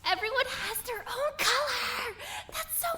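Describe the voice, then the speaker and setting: A woman's voice: very high voice, unhurried, sharp tone, full character voice. A woman playing a bubbly, upbeat young woman who talks in a chirpy, eager way. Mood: cheerful.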